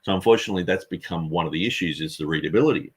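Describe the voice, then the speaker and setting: A man speaks in a deep, slightly husky voice. He answers at length in an earnest, rambling way and often restarts his sentences. He is a street preacher explaining his Bible translation work. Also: very fast. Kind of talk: conversational interview answer.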